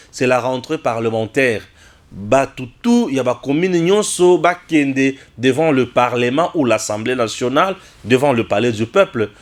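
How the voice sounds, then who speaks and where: Congolese man giving emphatic political commentary. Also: medium pitch. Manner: emphatic, repeats phrases, asks rhetorical questions; switches between French and another language.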